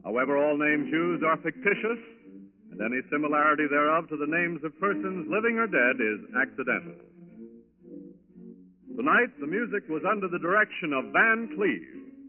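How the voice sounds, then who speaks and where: A man's voice: a little high, sing-song, moderate pace, faint music behind. An American man announcing on 1940s radio, reading a script in a formal, rousing, emphatic style. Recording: muffled 1940s radio broadcast recording.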